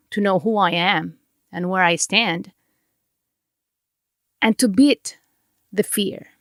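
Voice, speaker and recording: A woman's voice: mid-range voice, fairly bright tone, dry recording, clean studio sound. Earnest Mexican-accented woman podcast host. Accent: Mexican Spanish. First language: Spanish. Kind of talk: reflective motivational monologue.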